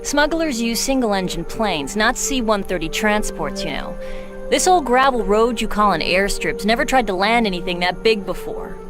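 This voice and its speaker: A woman's voice: medium pitch, animated, moderate pace, over music, natural voice. A woman playing a blunt, skeptical covert operative who talks in a dry, sardonic manner.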